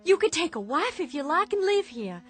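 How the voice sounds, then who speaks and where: A woman's voice: extremely high pitch, very animated, moderate pace, a bit bright, heavily acted. An actress playing a sick, bitter widow in a radio drama, speaking emotionally and insistently and stammering at the start of her lines. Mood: pleading. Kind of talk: old-time radio drama acting. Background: faint underscore music.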